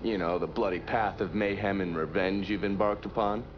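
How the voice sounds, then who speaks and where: A man's voice: low-pitched, fairly even intonation, moderate pace, lightly acted. A man playing a cynical war-veteran intelligence agent with an American accent, talking in a wry, needling way. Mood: wry, teasing. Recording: muffled, with loud vehicle noise.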